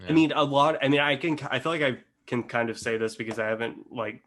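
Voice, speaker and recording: A man's voice: mid-range voice, fast talker, fairly bright tone. A man talking casually and informally on a podcast, with slangy phrasing.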